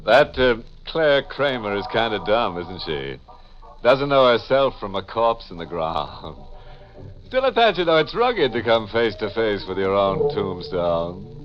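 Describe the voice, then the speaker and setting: An actor playing a gleefully macabre horror-show host, drawling puns with mock sympathy on 1940s radio. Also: male voice, lowish voice, sing-song, unhurried. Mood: ghoulish glee. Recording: muffled 1940s radio recording.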